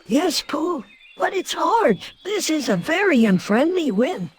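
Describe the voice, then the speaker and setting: A man's voice: extremely high pitch, very animated, unhurried, full character voice. A man voicing a small, timid, anxious male cartoon piglet with fretful, faltering speech.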